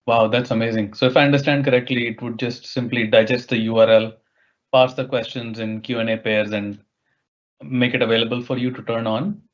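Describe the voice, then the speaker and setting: A man's voice: mid-range voice, flat delivery, fairly quick. A man hosting an online session, politely and appreciatively relaying questions in a conversational way. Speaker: webinar host and moderator. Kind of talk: conversational moderating, asking questions. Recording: slightly dull online-meeting audio.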